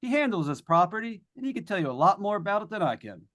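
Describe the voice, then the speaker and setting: A man's voice: sits high, big pitch swings, fast talker, fairly bright tone. An actor playing a breezy, joking male radio host on a ghost hunt, chatty and folksy in old-time radio drama style. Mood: joking, nervy. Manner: chatty, folksy showman delivery. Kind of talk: 1940s live radio drama acting.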